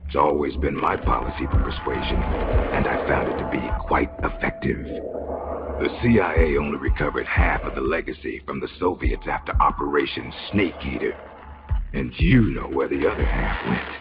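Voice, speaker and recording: Sadistic game interrogator: extremely low, gravelly voice, mock-courteous and deliberate, muffled audio under music. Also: male voice. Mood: coldly threatening. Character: menacing male military interrogator, smugly polite and sadistic. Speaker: voice actor in a game cutscene. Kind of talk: dramatic video game acting.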